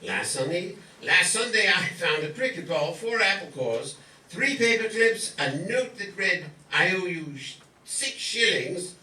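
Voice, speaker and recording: A man's voice: high voice, big pitch swings, measured pace, bright, forceful tone, exaggerated voice. A man playing a worried, dryly exasperated country vicar in an amateur comic radio play, formal and emphatic. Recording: echoey room, distant microphone.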